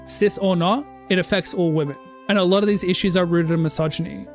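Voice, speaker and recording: A woman's voice: fairly low pitch, fairly even intonation, fast talker, faint music behind. A woman game streamer on a casual livestream, ranting with passion, leaning on "like" and cutting herself off to restart. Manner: impassioned ranting, heavy use of "like"; self-interrupting restarts.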